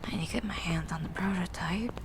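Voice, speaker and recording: A woman playing a grumpy, eccentric young horse-girl scientist in an ASMR roleplay, with formal, haughty, drawn-out wording. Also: on the low side, lightly acted. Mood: murmuring, preoccupied. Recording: clear background noise, ambient sound.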